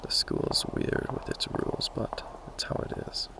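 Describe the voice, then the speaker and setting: A man playing an earnest, caring magic academy teacher in a soft, whispery ASMR roleplay, speaking in a measured, gently formal way. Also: lightly acted. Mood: quietly conspiratorial. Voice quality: whispery, breathy, mostly unvoiced. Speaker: roleplay voice performer. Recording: low-quality amateur recording.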